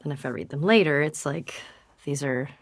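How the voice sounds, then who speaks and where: A reflective researcher in a game audio log, with a low voice full of vocal fry. She sounds soft and understated, recorded very dry. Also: normal speed, dry recording. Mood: hesitant, casual. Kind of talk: audio drama reading and conversation.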